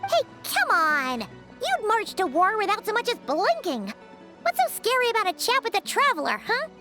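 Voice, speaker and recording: Tiny squeaky fairy game sidekick, super high, sassy and outspoken, music behind. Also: female voice, wildly swooping pitch, normal speed, exaggerated voice. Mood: bossy, reassuring. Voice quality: squeaky childlike voice. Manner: refers to self in third person, sassy. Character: small floating fairy-like female companion, childlike, cheeky and outspoken. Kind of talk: video game character acting.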